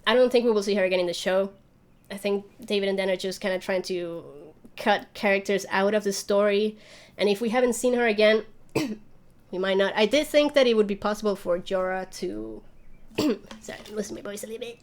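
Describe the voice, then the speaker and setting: A woman's voice: mid-range voice, a little fast. A woman hosting a livestream, chatting casually and rambling as she thinks aloud while answering viewer questions. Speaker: YouTube channel host.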